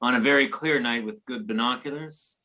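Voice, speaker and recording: A man playing an ominous older man in a readers theater drama, speaking in an unhurried, descriptive way. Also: medium pitch, bright, forceful tone, natural voice. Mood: musing.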